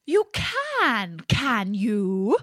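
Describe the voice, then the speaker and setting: A woman voicing a sly storybook fox who coaxes in a drawn-out way, feigning feebleness. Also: a little high, wildly swooping pitch, no room sound, studio quality, full character voice. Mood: triumphant. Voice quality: sharp, feeble. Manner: coaxing, drawn-out.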